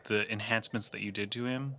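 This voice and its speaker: A man's voice: on the low side, fairly even intonation, quick pace, gravelly, sharp tone. A man talking casually in and out of character as a player in a tabletop game.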